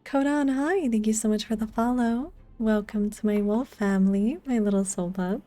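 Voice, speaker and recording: A woman streaming a game and chatting casually, unhurried and rambling as she thinks aloud. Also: a little high, warm tone. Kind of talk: casual livestream chat over gameplay.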